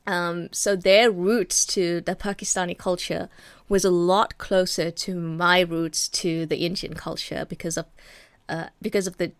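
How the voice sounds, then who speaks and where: Gravelly-voiced South African woman podcaster. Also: fairly low pitch, little pitch movement, unhurried, dry recording. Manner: chatty, self-deprecating, frequent fillers and laughs. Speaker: podcast host. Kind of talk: personal storytelling monologue.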